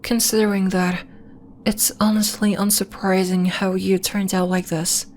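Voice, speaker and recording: Woman playing a slow-spoken gentle moon healer. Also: mid-range voice, a little hiss or hum. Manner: measured, formal, reassuring. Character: gentle, earnest female alien moon-temple healer. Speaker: ASMR roleplay voice actor. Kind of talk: slow, soft ASMR roleplay acting.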